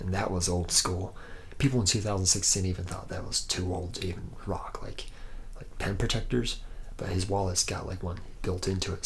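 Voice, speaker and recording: A man acts out a boozy, womanizing young robot-factory mechanic in an ASMR roleplay. His voice is very low with a heavy creak, drifting through cocky, teasing bro slang. There is clear background noise and ambience around him. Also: fairly bright tone. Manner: rambling, slangy bro talk, boastful and teasing.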